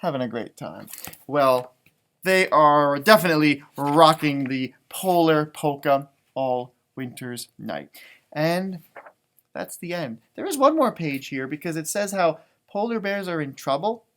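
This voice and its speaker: A man reading a children's picture book aloud in an animated way, stretching out read phrases and adding chatty, exclaiming asides. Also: fairly high pitch. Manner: animated, exclaiming, drawn-out words when reading. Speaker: storybook reader, elementary music teacher.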